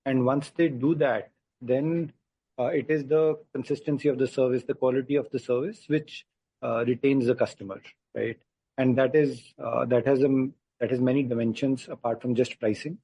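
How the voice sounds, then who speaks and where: A man answers as a company executive on a conference call. He is deliberate, pausing between phrases and checking with the listener. His voice is husky and dark, with a very dry sound. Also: mid-range voice, fairly even intonation, no room sound, rough recording. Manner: deliberate, pausing, seeks agreement with "right?". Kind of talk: answering questions on an earnings call. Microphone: conference call line.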